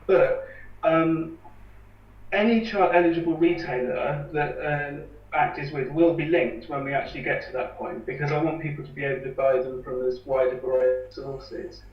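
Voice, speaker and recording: A man calmly explaining how he runs a campaign for independent bands, with an earnest manner. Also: a little high, normal speed. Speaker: campaign organiser. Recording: echoey, distant, dull lo-fi sound.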